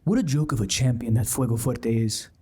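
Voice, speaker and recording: An actor playing a brash, resentful young villain luchador in an audio drama, cocky and sneering. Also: male voice, medium pitch, brisk, mellow, lightly acted. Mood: bitter, scornful. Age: young adult.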